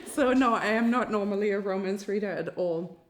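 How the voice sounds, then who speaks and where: A woman's voice: mid-range voice, brisk, a little hiss or hum. A woman, an amateur writer, chatting casually about her own writing and often laughing as she talks. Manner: giggly, laughs often while talking.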